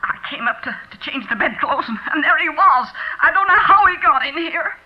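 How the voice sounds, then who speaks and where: A woman playing a distraught older landlady in a 1940s radio drama, shrill and hysterical. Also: animated, a little fast. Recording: muffled 1940s radio recording.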